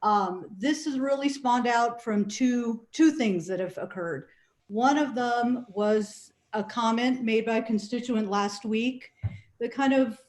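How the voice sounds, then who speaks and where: A middle-aged woman whose first language is Spanish, speaking as a council member in a measured, explanatory way and pausing to find her words. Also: fairly high pitch, a little mellow. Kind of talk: council meeting remarks. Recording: echoey room sound.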